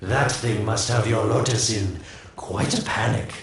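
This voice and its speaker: An actor playing a sly, taunting schemer in a video game, with a smug, teasing manner full of drawn-out rhetorical questions. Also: male voice, fairly low pitch, vocal fry. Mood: mocking. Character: sly, taunting male schemer, smugly menacing rogue. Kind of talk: video game character acting. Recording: very echoey, distant, loud noise.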